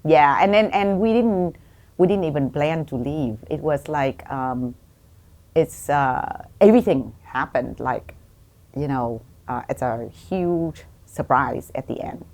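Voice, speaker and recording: Podcast guest: middle-aged woman, low register, Vietnamese accent, halting personal storytelling. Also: darkish tone. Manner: halting, with many pauses. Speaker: guest, doctor.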